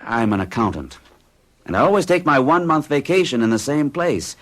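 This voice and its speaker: An actor in his forties playing a nervous accountant of fixed habits, who recounts a strange experience in an earnest, uneasy way. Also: brisk. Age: 40s. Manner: earnest, uneasy storytelling with a lively pitch. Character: nervous middle-aged male accountant of rigid habits. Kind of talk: 1970s radio drama acting.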